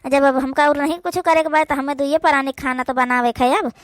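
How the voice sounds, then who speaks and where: A woman's voice: high-pitched, steady tone, fairly quick, dry recording, studio quality. A woman with an Awadhi accent acting out a rural family drama for a cartoon in colloquial, complaining village speech. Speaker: cartoon voice performer.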